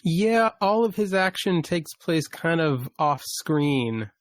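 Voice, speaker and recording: A man co-hosting a podcast, explaining and qualifying his points in a relaxed, informal discussion. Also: on the high side, takes it slowly, no room sound, clean studio sound. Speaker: podcast host. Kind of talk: casual discussion.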